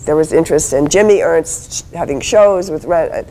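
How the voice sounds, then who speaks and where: A woman panelist at an academic conference, answering haltingly with many fillers and restarts. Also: low voice, mellow, slight background noise, low-quality recording. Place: hotel conference room.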